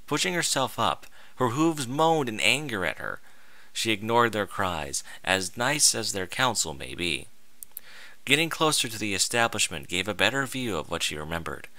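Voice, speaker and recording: A man's voice: lowish voice, big pitch swings, normal speed, bright, forceful tone, dry recording. A man narrating a scripted story in a theatrical, dramatic manner.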